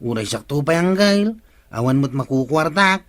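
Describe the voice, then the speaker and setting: A man's voice: on the high side, animated, moderate pace, a bit bright, dry recording, studio quality. A man with an Ilocano accent playing a cheeky, freeloading village sidekick in a radio comedy drama. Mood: teasing.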